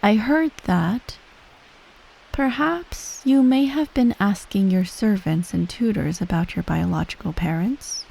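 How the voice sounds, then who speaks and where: A woman playing a menacing, coolly doting empress mother in soft, breathy ASMR roleplay, measured and formal, often near a whisper. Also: mid-range voice, rough recording. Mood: coolly reassuring. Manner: measured, formal, veiled threats, breathy sighs.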